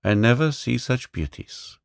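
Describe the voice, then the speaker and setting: Male reader doing a humble lame boy in a storybook: meek, higher voice, slow. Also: expressive, vocal fry, no room sound, clean studio sound. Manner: humble, plain-spoken, says "ain't"-style slang. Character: poor lame young boy, meek and cheerful.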